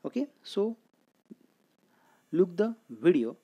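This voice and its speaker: A man's voice: sits high, big pitch swings, slow pace, dark tone, husky. A man with an Indian accent giving an explanatory physics lecture as a teacher, often asking questions and answering them himself. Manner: didactic, asks and answers own questions.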